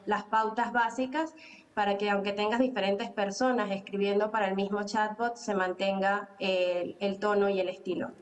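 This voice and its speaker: Woman, Venezuelan accent, conference panelist, explanatory, filler pauses. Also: medium pitch. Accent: Venezuelan Spanish.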